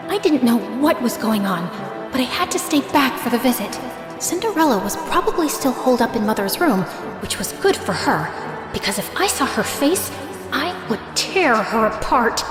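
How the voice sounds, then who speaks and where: A woman playing a passionate, wronged young stepsister, furious and heartbroken, telling her story dramatically. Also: high-pitched. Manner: intense, emotional delivery. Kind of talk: dramatic first-person story narration. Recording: reverberant voice over prominent music.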